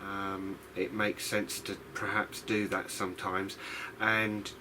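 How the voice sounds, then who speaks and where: A man from the UK with a fairly low voice presents a casual hobby video diary. He rambles and hesitates, often putting himself down mildly. There is clear noise behind his voice. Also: flat delivery, slow. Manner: rambling, hesitant, self-deprecating. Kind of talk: casual unscripted demonstration.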